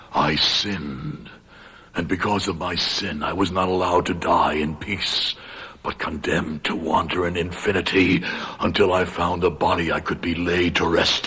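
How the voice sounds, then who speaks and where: Deep whispery ghost doppelganger, cursed and ancient, slow formal menace, radio horror. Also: male voice, a bit bright, heavily acted. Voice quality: husky, breathy, whispery. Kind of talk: radio horror drama acting.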